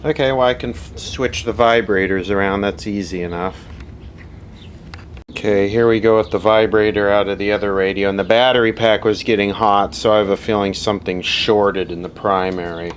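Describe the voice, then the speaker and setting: A man's voice: lowish voice, steady tone, normal speed. A man giving a casual, unscripted, matter-of-fact repair demonstration at a workbench, recorded on a camera microphone. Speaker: vintage radio repair hobbyist presenting. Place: indoor workshop at a workbench. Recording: noisy amateur camera audio.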